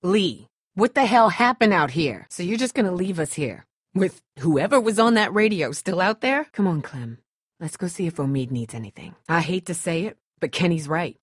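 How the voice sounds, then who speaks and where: A woman's voice: on the low side, animated, moderate pace, breathy edge, no echo, studio quality. A woman acting a tough, distrustful and protective survivor in a dramatic video game, blunt, sardonic and guarded. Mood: cold, accusing.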